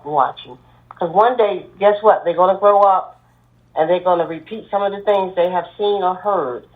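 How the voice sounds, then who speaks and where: A woman, a mother and church deacon, giving earnest advice as a podcast guest. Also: on the low side. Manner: earnest, advising, steady. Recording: tinny phone line.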